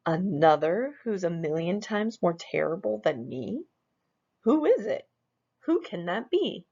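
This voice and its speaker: A woman voicing a vain, boastful male monster in a storybook, with a high, shouty way of talking. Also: sing-song, unhurried, creaky, breathy edge, exaggerated voice. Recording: dull lo-fi recording.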